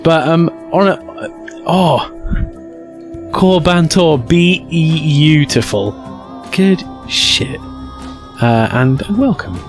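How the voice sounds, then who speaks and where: A British man with a fairly high-pitched voice tells casual stories as a streamer, with music behind him. His delivery is very animated, and he acts out dialogue in a crude, self-mocking comic way. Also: very slow, long pauses. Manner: acts out dialogue with excited outbursts. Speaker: streamer telling stories to live chat. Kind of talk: animated casual comedic storytelling.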